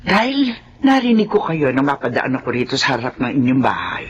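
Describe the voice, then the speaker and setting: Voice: medium pitch, sing-song, a little fast, husky. Earnest village wife, swooping delivery. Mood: grave, insistent. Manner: insistent, grave storytelling. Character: earnest superstitious village wife, folk healer's spouse. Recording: dull, noisy radio drama sound.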